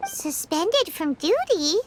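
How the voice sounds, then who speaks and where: Casting: tiny blunt fairy sidekick, extremely high squeaky child voice, animated, game dialogue. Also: female voice, takes it slowly, a little hiss or hum, full character voice. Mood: curious, blunt. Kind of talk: English game voice acting. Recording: children's music behind the voice.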